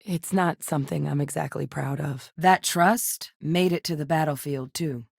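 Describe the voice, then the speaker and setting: A steady, low-voiced commander. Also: female voice, normal speed, vocal fry, husky, no room sound, clean studio sound, full character voice. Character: steady female military commander, earnest. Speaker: game character. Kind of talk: video game voice lines.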